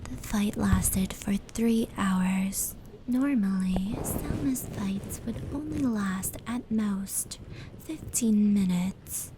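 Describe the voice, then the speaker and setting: A woman voicing a gentle, caring female yokai who softly tells old tales in an ASMR roleplay, often close to a whisper. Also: mid-range voice. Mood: calm storytelling. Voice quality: soft, breathy, often near-whispered. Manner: unhurried, soothing, measured narration. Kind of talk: ASMR roleplay, slow soft storytelling. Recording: steady rain and brushing noise layered over. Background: indoor rain patter and fur brushing.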